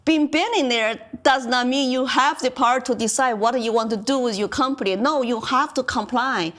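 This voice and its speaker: A woman's voice: sits high, moderate pace, fairly bright tone. A woman with a Mandarin Chinese accent, a guest on a local TV talk show, making her case earnestly and asking rhetorical questions. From China. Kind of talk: political talk show discussion. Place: local community TV studio.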